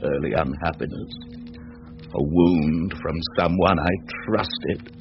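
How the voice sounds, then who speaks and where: An actor playing a haunted, sorrowful scholarly gentleman with a formal, earnest manner in a classic radio drama. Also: a bass voice, takes it slowly, exaggerated voice. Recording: dull lo-fi old radio, faint music.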